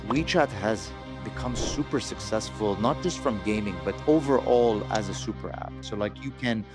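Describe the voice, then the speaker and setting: A man from Saudi Arabia speaking conversationally as an interview guest, often halting and repeating words as he tells his own story. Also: lowish voice, music in the background. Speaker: podcast guest, entrepreneur.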